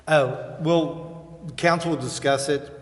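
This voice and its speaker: A man's voice: fairly high pitch, steady tone, slow pace. A man chairing a meeting in a council chamber, giving short procedural replies. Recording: echoey hall, long reverb, noticeable noise.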